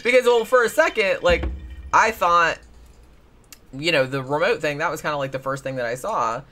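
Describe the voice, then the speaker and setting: A man's voice: on the high side, lively intonation, normal speed, pushed and bright. A man chatting casually and irreverently as a show co-host, with slangy, profane phrasing. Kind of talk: casual chat recapping paranormal stories.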